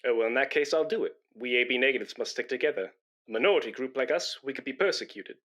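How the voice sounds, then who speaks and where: A man's voice: medium pitch, fast talker, fairly bright tone, natural voice. An actor playing a pompous, self-important man in a British radio comedy, blustering and indignant, with a matey know-all manner. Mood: smug, self-important.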